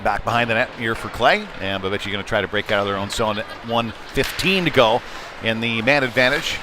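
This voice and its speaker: A man's voice: on the low side, a little fast. A man giving live play-by-play hockey commentary in clipped phrases with conversational asides. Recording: noisy rink ambience behind live commentary. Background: sports venue noise.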